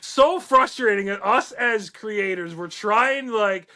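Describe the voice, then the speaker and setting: A man co-hosting a casual podcast, relaxed, his voice sinking and trailing off as he finishes a point. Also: slow. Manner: relaxed, voice sinks low and trails off at the ends of points. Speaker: podcast co-host.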